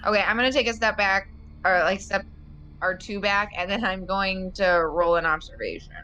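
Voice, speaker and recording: A woman playing a tabletop role-playing game, chatting casually in halting, hesitant phrases sprinkled with "like". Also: mid-range voice, bright, forceful tone, rough recording. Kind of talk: casual chat during a game.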